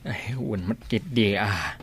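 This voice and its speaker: A man with an Ilocano accent acting a weary, gently grumbling old husband in a radio drama. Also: on the low side, animated, takes it slowly, breathy edge, heavily acted. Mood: weary, hungry.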